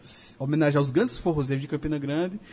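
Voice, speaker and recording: A man's voice: fairly high pitch, a little mellow. A man, a guest lyricist, answering interview questions casually. He stumbles over words and repeats himself, then speeds up. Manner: halting, repeats words, then rushes ahead.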